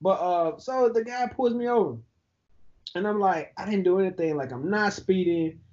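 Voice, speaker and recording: High-voiced man, animated storyteller. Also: normal speed, muffled recording. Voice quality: high-pitched voice. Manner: animated storyteller who laughs easily. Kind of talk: casual podcast chat.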